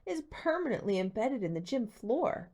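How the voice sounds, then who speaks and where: A woman's voice: on the high side, sing-song, normal speed, warm tone, voice pushed up. A woman voicing a plucky, wry teenage girl detective with an inquisitive manner in an audiobook. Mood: wry, joking.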